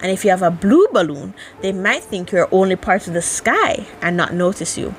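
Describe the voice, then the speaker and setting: A woman's voice: mid-range voice, animated, moderate pace, slight background noise, natural voice, rough recording. A woman voicing a musing, honey-loving little storybook bear who rambles earnestly to himself. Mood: earnest scheming.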